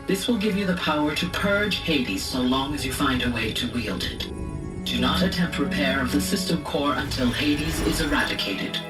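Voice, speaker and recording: Echoing female artificial intelligence, video game, formal, solemn, caring, loud music. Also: deep voice, animated, moderate pace. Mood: hopeful, solemn. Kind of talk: scripted video game voice acting. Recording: echoey, distant, under loud music.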